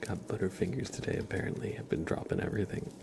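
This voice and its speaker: Male ASMR host, low gravelly fry, soft whispery delivery, casual and gentle. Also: background noise. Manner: gentle, unhurried, casual chat with viewers.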